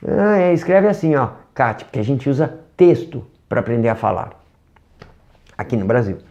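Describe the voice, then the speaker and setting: An English teacher with a Brazilian Portuguese accent gives an online lesson, animated, asking rhetorical questions and demonstrating sounds. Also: mid-range voice, moderate pace, dull audio.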